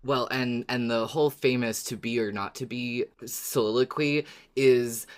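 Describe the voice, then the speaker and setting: Very deep-voiced woman guest host. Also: steady tone, measured pace, darkish tone. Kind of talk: casual chat.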